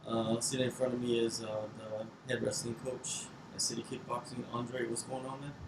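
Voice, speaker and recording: A man's voice: mid-range voice, level tone, moderate pace. A man asking casual interview questions, building them up in short phrases. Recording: echoey room with clear background noise.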